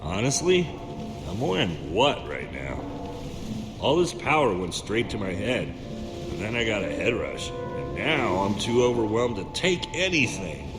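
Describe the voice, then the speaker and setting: An actor playing a greedy, goofy, big-hearted sidekick in a video game, with a dopey, earnest delivery. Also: male voice, fairly low pitch, big pitch swings, measured pace. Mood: overwhelmed. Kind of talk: video game character acting. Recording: echoey game audio under loud music.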